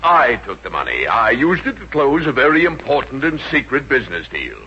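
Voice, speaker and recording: A man's voice: mid-range voice, wildly swooping pitch, moderate pace, pushed and bright. A man playing a mild, henpecked middle-aged businessman in a 1950s radio comedy, with formal delivery. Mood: mild, apologetic. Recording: dull, hissy old 1950s radio recording.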